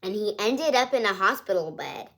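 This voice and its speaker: A woman's voice: mid-range voice, animated, normal speed, a bit bright. A woman hosting her own channel, reading a picture book aloud to children in a cheerful, chatty, singsong storytelling way.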